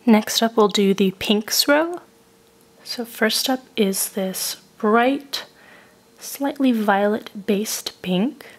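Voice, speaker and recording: A woman narrates a makeup review while still getting over a cold. She works through each shade in a steady, methodical way. Her tone is descriptive and even. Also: fairly high pitch, slow. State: recovering from a cold. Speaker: beauty reviewer.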